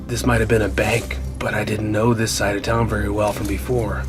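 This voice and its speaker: A man's voice: fairly low pitch, little pitch movement, a little fast. A man narrating a first-person horror story as a scripted radio play. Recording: prominent music under the voice.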